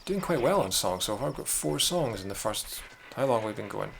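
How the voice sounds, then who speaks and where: A man's voice: lowish voice, big pitch swings, fairly quick, gravelly, a bit bright, a little hiss or hum. A man with a mild Scottish accent, casually commenting on his own gameplay during a live stream, wry and muttering to himself. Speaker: game streamer. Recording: game music playing behind.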